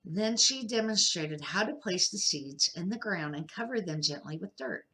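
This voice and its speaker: An older woman, a grandmother, reading a children's picture book aloud in a measured, careful amateur style with short phrases. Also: fairly low pitch, animated, bright, forceful tone, slight background noise, rough recording.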